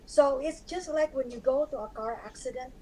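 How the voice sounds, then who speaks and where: Hoarse woman, higher-pitched. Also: moderate pace, darkish tone, poor audio. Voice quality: hoarse, scarred voice. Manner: earnest, explaining with restarts. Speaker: guest. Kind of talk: live-stream conversation.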